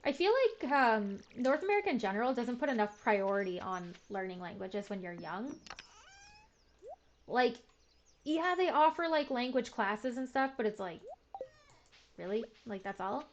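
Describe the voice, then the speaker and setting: A woman's voice: on the high side, animated, normal speed, slight background noise. A young woman, chatting in a rambling, filler-heavy, self-deprecating way while playing a game. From Canada. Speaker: gaming video host. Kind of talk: casual chat while playing a farming game. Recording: slightly muffled with faint game music.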